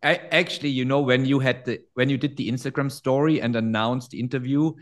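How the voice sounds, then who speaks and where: A man's voice: mid-range voice, steady tone, a little fast. A man in his forties from Germany, speaking English with a German accent as a non-native speaker, chatting in a friendly way as a guest in an interview. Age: 40s. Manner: friendly, laughs easily, restarts phrases in non-native English. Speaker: guest trader. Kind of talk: casual interview chat.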